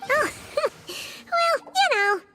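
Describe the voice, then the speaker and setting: Casting: childlike anxious game fairy, extremely high squeaky voice, echoing, heavy music bed. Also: female voice, sing-song, drawn-out words, exaggerated voice. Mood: relieved, sheepish. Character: tiny excitable childlike fairy companion, earnest and anxious. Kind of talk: video game cartoon-like voice acting.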